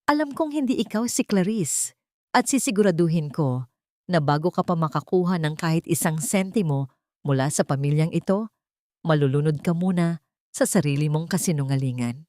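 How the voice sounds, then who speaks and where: A woman's voice: sits low, lively intonation, normal speed, a little mellow, dry recording, clean studio sound. A woman voicing a cruel, cold rich young woman in an audio drama, threatening and taunting.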